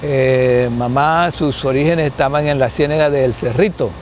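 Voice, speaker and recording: A man's voice: medium pitch, normal speed, a little hiss or hum. A man in his seventies from Colombia, a guest sociologist in an interview, answering unhurriedly, thinking aloud with restarts and frequent tag questions. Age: 70s.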